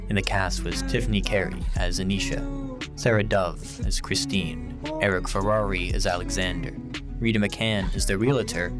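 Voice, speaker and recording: A man announcing credits in a scripted radio drama outro. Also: low-pitched. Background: guitar music.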